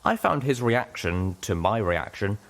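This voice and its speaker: A man's voice: fairly low pitch, sing-song, measured pace, creaky, a bit bright. A man reading a scripted first-person story as an audiobook narrator.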